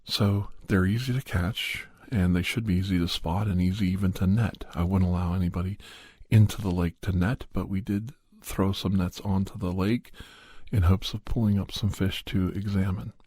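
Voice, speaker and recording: A man playing a serious doctor, telling a horror story in a husky voice with deliberate, halting pauses for an ASMR podcast. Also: sits low, steady tone, a little mellow, no room sound. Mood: measured, suspenseful. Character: male doctor recounting a creature hunt, measured and serious. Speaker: storyteller narrator.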